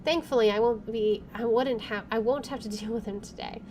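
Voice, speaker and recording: Gamer girl reading visual novel story out loud, highish voice, faint game ambience behind. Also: a little fast, a little hiss or hum. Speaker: gaming video host. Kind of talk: reading visual novel narration aloud with casual reactions.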